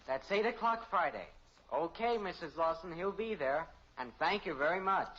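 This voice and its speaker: A man's voice: a little high, animated, moderate pace, a bit bright. A male actor playing an eager, self-important teenage son in 1950s radio comedy style, earnest and politely salesmanlike. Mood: businesslike. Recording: muffled old radio recording, faint hiss.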